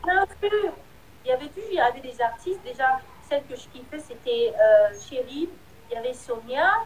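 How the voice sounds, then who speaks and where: A woman singer with a high voice, answering interview questions. She speaks candidly but hesitantly, and her sentences often trail off. A faint hum of ambience sits behind her. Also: animated, brisk, low-quality recording. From Republic of the Congo. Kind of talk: casual interview.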